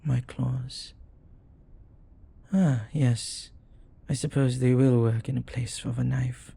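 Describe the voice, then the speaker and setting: A man voicing a flirty, devoted demon in an intimate romantic audio roleplay, speaking formally and reverently in a husky voice that often drops to a whisper. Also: medium pitch, steady tone, mellow, full character voice. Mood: tender, curious. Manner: slow, formal, reverent phrasing. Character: jealous, flirty, devoted male demon bound to master.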